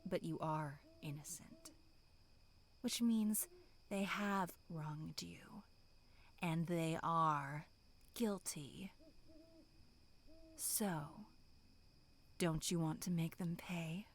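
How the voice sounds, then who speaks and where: Slow, whispering demonic monster played by a woman. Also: fairly low pitch, full character voice. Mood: coaxing, sinister. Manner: slow, drawn-out, menacing whispering. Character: bloodthirsty female demonic monster, sinister yet tender. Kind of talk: whispered horror ASMR roleplay.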